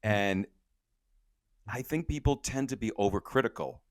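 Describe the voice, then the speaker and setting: Guest voice: man, lowish pitch, casual and energetic, stumbles into sentences, dry close-miked sound. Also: measured pace, no room sound. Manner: energetic, repeats words when starting sentences. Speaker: podcast guest, company co-founder. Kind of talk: casual interview talk.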